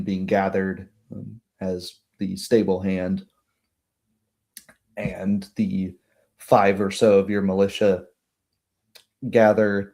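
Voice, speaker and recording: Male game master, deep-leaning mellow voice, improvised fantasy narration.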